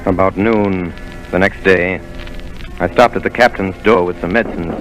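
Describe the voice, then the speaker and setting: A man giving deep, measured narration of a boy's past adventure in an old radio play. Music plays softly under the old broadcast sound. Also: steady tone, lightly acted, poor audio. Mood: calm. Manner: measured storytelling. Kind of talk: 1930s radio drama narration.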